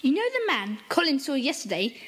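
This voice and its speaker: A confident schoolboy who leads a children's club in an audio drama, decisive and in charge. His voice is high and lively, jumping up and down in pitch, with faint background noise. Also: fairly quick. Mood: eager. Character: confident boy leader of a kids' club.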